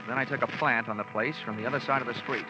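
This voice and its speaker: A man's voice: mid-range voice, fairly even intonation, fast talker, lightly acted. An actor playing a dry, wisecracking insurance investigator who narrates his case in a 1950s radio drama. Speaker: narrator and lead detective. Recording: dull old radio recording with music.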